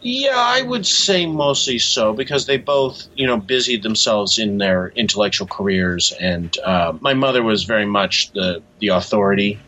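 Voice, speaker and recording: A man's voice: fairly low pitch, animated, moderate pace, few pauses, pushed and bright, a little hiss or hum. A man being interviewed as an author, reflecting in a hesitant, thoughtful way as he talks. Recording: dull, lo-fi sound.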